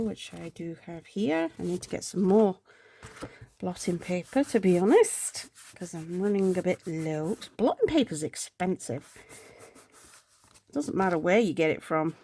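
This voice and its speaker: Slow, gravelly, congested woman. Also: on the low side, expressive, darkish tone, a little hiss or hum. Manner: chatty, rambling, drifting into murmurs. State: congested, sore throat. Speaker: planner vlogger. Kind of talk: casual chat while planning.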